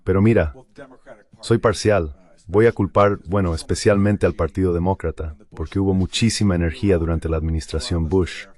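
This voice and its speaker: A man delivering a dubbed political panel commentary as a voice-over, arguing in a measured, articulate way. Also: low-pitched, steady tone, a little mellow.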